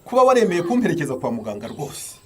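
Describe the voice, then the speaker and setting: An excitable old grandfather in a radio drama, his highish voice swooping up and down. He exclaims and repeats his words with great delight. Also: racing, slight background noise. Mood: excited, grateful. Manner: exclaiming, chuckling, repeating himself.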